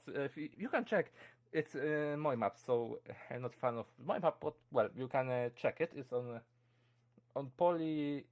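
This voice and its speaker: A young man with a Polish accent talks casually into a desk microphone. His voice sits a little high, and he stumbles through fillers and restarts, chuckling often. Keyboard typing can be heard behind him. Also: darkish tone. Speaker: convention presenter. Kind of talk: casual live-streamed talk answering chat questions.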